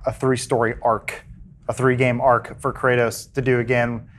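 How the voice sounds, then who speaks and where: A man's voice: mid-range voice, little pitch movement, moderate pace, slight background noise. A young man hosting a podcast, chatting casually and jokily about a video game, often trailing off and restarting his sentences. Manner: rambling, self-interrupting, jokey. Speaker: podcast host. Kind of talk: casual chat.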